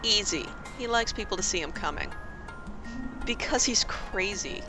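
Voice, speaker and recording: A woman's voice: mid-range voice, expressive, normal speed, over music, natural voice. An actress playing a woman who chattily spins the legend of a vigilante. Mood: chatty. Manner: chatty, storytelling. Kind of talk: amateur motion comic voice acting.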